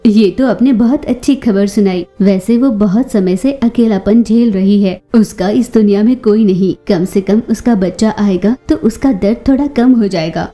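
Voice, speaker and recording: A woman's voice: medium pitch, moderate pace, hardly any pauses, dark tone, faint music behind, close to an everyday voice. A woman voicing a bold, protective young female bird in a cartoon story, outspoken in manner. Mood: caring, pleased. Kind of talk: Hindi children's cartoon story acting.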